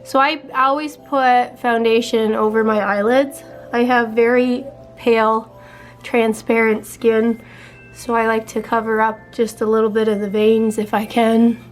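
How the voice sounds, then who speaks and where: A young woman chatting casually while doing her makeup, her voice a little raspy, walking through each step in a relaxed way. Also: on the high side, measured pace, faint music behind. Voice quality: slightly raspy. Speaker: beauty vlogger.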